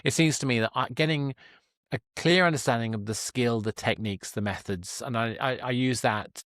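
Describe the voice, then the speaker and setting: A man's voice: mid-range voice, normal speed, fairly bright tone, dry recording, studio quality. A man hosting a conversational interview podcast, talking in a reflective, discursive way into a dynamic microphone. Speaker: podcast host and stage presenter. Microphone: dynamic microphone at a desk.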